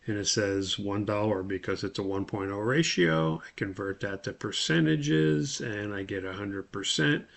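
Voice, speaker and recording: A man giving a methodical, step-by-step spoken tutorial as an instructor, with a creaky voice. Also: lowish voice, normal speed, hardly any pauses, fairly bright tone. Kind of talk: spoken screen-share tutorial.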